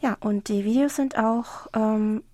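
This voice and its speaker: A woman's voice: a little high, a little slow, mellow, no echo. A woman presenting a radio program from a studio, announcing upcoming shows and news.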